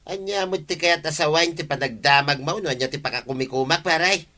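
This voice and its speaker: A man's voice: a little high, a little fast, bright, forceful tone. A man with an Ilocano accent playing a touchy, indignant villager in a comedy radio drama, speaking in a curt, scolding way. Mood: irritated.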